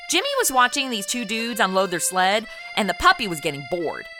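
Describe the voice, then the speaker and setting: A woman's voice: medium pitch, big pitch swings, fairly quick, music in the background. A woman hosting and narrating a comedy audio drama in a cheeky, sarcastic, conversational manner. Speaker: comedy podcast host and narrator.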